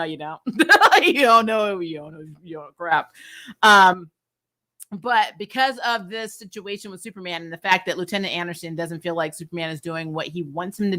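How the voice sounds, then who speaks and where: A woman casually recapping TV episodes as a vlog host, animated, often acting out lines and dropping in "you know". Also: mid-range voice. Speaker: host of a comic TV review vlog.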